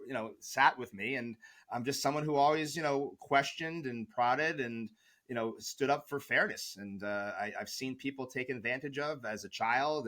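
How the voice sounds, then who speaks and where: A man's voice: mid-range voice, bright, forceful tone. Passionate man arguing his point. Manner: passionate, argumentative, many fillers. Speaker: guest expert. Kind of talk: interview answers.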